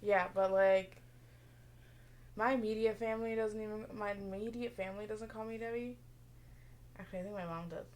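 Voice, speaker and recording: A woman's voice: mid-range voice, steady tone, normal speed, pauses often, darkish tone, slight background noise. Young woman, casual podcast co-host, mumbly, laughs a lot. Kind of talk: casual chat.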